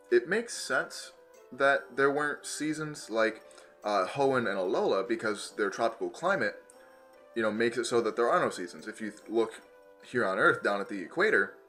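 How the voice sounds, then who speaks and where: Hoarse man, slightly raspy, bright tone, enthusiastic science explainer, says "you know" a lot. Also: lowish voice. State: hoarse from a cold. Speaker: host of an educational science channel.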